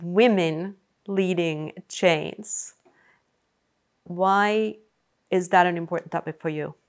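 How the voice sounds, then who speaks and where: A woman host with a slightly low voice leads the interview. She asks questions in a measured way, rephrasing and adjusting them mid-sentence. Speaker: podcast host.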